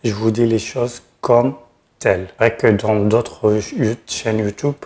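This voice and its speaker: A man's voice: fairly low pitch, fairly even intonation, slow, a little mellow. A man from Tunisia, speaking as host and consultant in an explanatory advice video, frankly and directly, stressing key words. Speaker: channel host, regulated immigration consultant.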